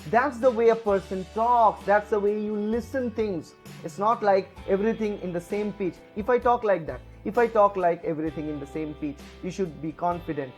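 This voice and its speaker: A man from India, a native Bengali speaker with an Indian English accent, giving tips to camera with lively swings in pitch and many fillers. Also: normal speed, hardly any pauses, faint music behind. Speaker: educator. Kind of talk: lecture to camera. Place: room, alone with camera.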